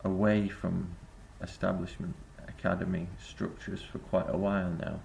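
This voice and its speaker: Male vlogger, low and heavily gravelly, rambling reflective delivery full of hesitations. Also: level tone, moderate pace, darkish tone, slight background noise. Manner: rambling, hesitant, many filler sounds. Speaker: vlogger and busking singer. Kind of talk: reflective spoken essay to camera.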